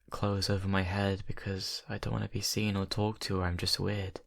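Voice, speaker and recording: Low, gravelly brooding young vampire played by a man. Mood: hesitant confession. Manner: hesitant, halting, confiding, intimate. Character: brooding young male vampire confessing to best friend. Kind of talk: ASMR audio roleplay.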